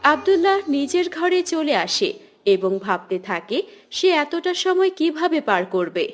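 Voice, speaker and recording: A woman's voice: high voice, sing-song, measured pace, faint music behind. A woman narrating a children's cartoon story in a scripted storytelling style.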